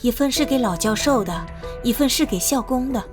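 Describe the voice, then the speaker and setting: An actress playing a polite, gentle school helper with a caring, concerned temper in an animated drama. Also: female voice, on the high side, brisk, over music, close to an everyday voice. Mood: worried. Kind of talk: Chinese animated drama dubbing.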